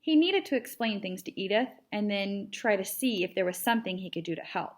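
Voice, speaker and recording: A woman's voice: medium pitch, lively intonation, fairly quick. A woman reading a children's storybook aloud as a narrator, like a teacher to pupils.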